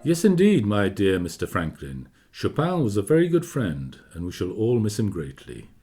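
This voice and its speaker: An actor playing a formal, courteous gentleman of the 1840s, reminiscing in measured old-fashioned phrases in a radio play. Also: fairly low pitch, wildly swooping pitch, faint music behind. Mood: fond, courteous. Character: courteous Polish gentleman of 1849, friend of Chopin, reminiscing. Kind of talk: radio drama narration.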